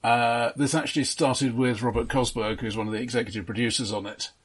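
A man co-hosting a film discussion, giving background in a measured, factual, halting way. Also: mid-range voice, fairly even intonation, slightly rough. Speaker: film podcast co-host.

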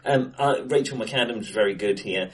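A man co-hosting a film discussion in casual chat, hesitant and often rewording himself, recorded in a bright, echoey room. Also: fairly low pitch, normal speed. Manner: hesitant, self-correcting, rambling. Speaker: film podcast co-host. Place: indoors in an acoustically bright room.

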